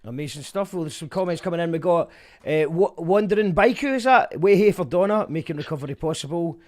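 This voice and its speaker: A man hosts a live fundraiser stream with a chatty manner. His voice sits a little high for a man. He reads viewer comments aloud between guests. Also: lively intonation, fast talker. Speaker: host. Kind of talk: livestream fundraiser hosting.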